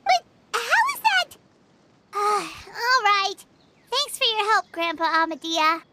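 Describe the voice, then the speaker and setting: A woman voicing a small, childlike fairy companion in a video game, squeaky, chatty, earnest and easily flustered. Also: takes it slowly, heavy background noise, exaggerated voice. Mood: flustered, suspicious. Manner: exclamatory, expressive.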